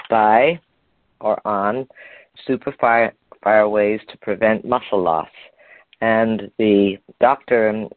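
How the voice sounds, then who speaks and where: A man's voice: lowish voice, no echo. An older man from Canada hosting a health talk, reading aloud from an article and stumbling over hard words. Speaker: program host. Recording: tinny phone line.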